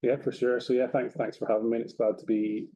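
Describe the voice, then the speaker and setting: A man's voice: mid-range voice, flat delivery, a little fast, dark tone, lo-fi sound. A man with an Edinburgh Scottish accent, a guest in a webinar talking in an easygoing way and often repeating words as he goes. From Scotland. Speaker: guest, property company representative. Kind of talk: conversational webinar talk.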